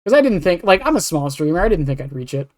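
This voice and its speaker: A man's voice: on the high side, sing-song, fast. A man chatting casually on a podcast as a streamer, rambling and self-deprecating, with some swearing.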